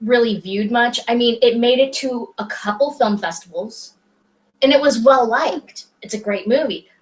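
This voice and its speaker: Woman co-host with a slightly higher voice, chatty and quick to jump in with asides. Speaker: podcast co-host. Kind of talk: casual movie review chat.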